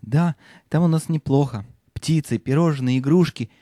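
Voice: medium pitch, moderate pace, dark tone, no echo, studio quality, lightly acted. An actor playing a curious, earnest young boy in a children's audio play, with eager, simple phrasing.

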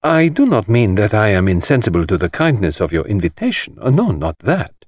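Grave polite detective, slow n precise, very lilting pitch, audiobook. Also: male voice, sing-song, darkish tone, no room sound, studio quality. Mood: measured, reserved. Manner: slow, precise, measured and formal. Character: grave, courteous, shrewd middle-aged male foreign detective. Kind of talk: dramatised audiobook dialogue.

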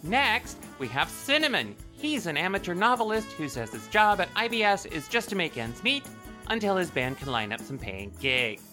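A woman's voice: on the low side, big pitch swings, normal speed, sharp tone, soft background music. A woman playing a petulant, showy rabbit android who hosts a show in an audio drama, with sarcastic flourishes. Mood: mock-smooth. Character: bratty theatrical female rabbit android show host.

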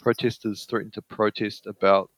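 A man interviewing an author, reading out measured, prepared questions. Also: lowish voice, flat delivery, no echo.

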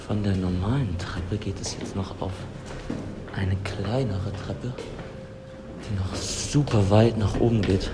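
A man with a fairly low voice reports from the spot, describing what is around him in short, searching phrases. Clear ambient noise runs behind him. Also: steady tone, takes it slowly. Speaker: podcaster exploring a building. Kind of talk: on-location audio reportage, describing surroundings. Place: on the move around a theatre building.

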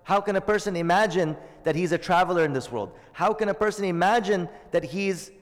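A man's voice: sits high, brisk, big-room sound. A man giving a religious lesson to a congregation, explaining Arabic terms and asking his listeners questions. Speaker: Islamic teacher.

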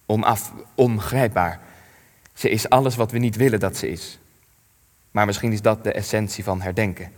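An actor playing a weary, grave old resistance veteran in a radio play, speaking in solemn, measured phrases with long reverb. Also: male voice, on the low side, natural voice. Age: older. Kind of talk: Dutch radio play acting.

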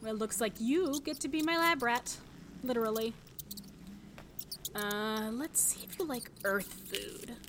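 A woman playing a curious, wry field scientist in an audio drama, talking to herself in halting musings. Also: fairly high pitch, a bit bright, a little hiss or hum.

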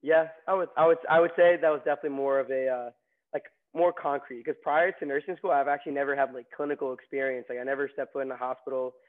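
Young male guest from New York, casual storyteller; narrow pitch, lo-fi phone-quality audio. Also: steady tone, fast. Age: young adult. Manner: chatty, many fillers and restarts. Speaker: nursing graduate guest. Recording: tinny phone-like line.

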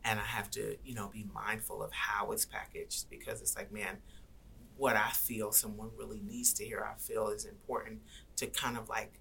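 Earnest woman guest, low gravelly voice with a bright edge, light noise behind. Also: flat delivery, moderate pace. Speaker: guest rapper and activist. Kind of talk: conversational interview.

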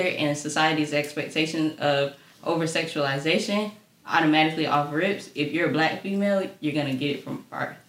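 Low-voiced woman in an echoey room. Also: a bit bright. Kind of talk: panel discussion.

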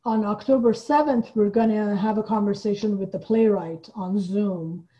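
A woman's voice: medium pitch, normal speed, dark tone, muffled recording. A woman from Iran speaking thoughtfully and hesitantly as a guest in a conversational interview. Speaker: guest, playwright and theater artistic director.

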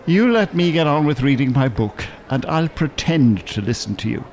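A man's voice: mid-range voice, animated, moderate pace, darkish tone, natural voice. An actor playing a bookish, irritable older man in a radio drama, articulate, polite and drily sarcastic. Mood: exasperated. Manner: polite, dry, exasperated, articulate. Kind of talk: naturalistic radio drama acting. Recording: urban ambience, faint background noise. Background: shopping centre ambience.

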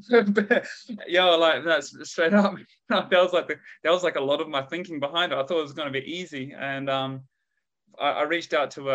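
A man's voice: a little high, a bit bright, clear, resonant tone. A man in his thirties with a New Zealand accent, a guest on a podcast talking over a video call in a laid-back, slangy way. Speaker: guest researcher. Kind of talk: casual podcast interview.

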